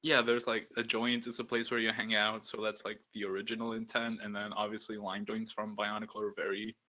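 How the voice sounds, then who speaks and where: Deep-voiced young man, bright tone. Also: level tone, a little fast, poor audio. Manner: rambling, peppered with "like" and swearing. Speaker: podcast host. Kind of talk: casual chat.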